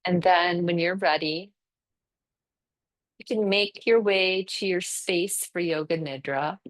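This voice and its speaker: A woman teaching yoga nidra with a very slow, spacious delivery. Her voice sits a little low, and she gives gentle step-by-step cues for breathing and settling the body, leaving room between phrases. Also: rough recording. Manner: gentle, unhurried guiding with pauses. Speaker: yoga instructor.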